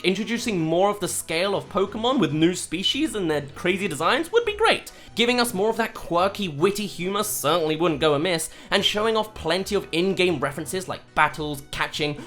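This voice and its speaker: Lively reviewer voice doing a scripted video essay, mid pitch, leans hard on words, bit of music behind. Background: background music.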